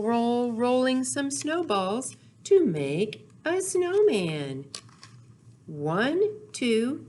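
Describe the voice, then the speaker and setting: Very slow, lively woman hosting children's storytime. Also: mid-range voice, a little hiss or hum. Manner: sing-song, simple phrasing aimed at young children. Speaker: children's library storytime host. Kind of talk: children's storytime with read-aloud, songs and questions.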